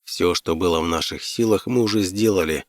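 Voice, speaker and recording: Man, audiobook narrator, lowish pitch, scripted first-person storytelling, dry studio sound. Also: brisk, no echo.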